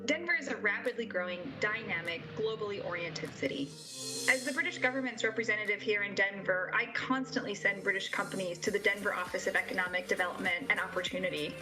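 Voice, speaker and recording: A woman's voice: mid-range voice, fast talker. Woman giving testimonial over music. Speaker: government representative giving testimonial. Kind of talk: promotional testimonial.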